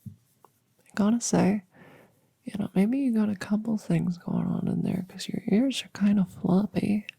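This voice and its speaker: A hushed, breathy witch vet, played by a woman, talks slowly and softly in an ASMR audio roleplay. Her low voice creaks as she gently reassures and teases, chatty and a little goofy, often slipping toward a whisper. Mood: fond, teasing. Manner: gentle, chatty, halting, teasing.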